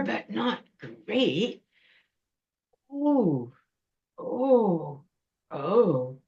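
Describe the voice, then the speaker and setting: A woman voicing a hungry, gloating troll witch in a live story, with a drawn-out, groaning delivery. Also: on the high side, vocal fry, highs cut off, voice pushed up, full character voice.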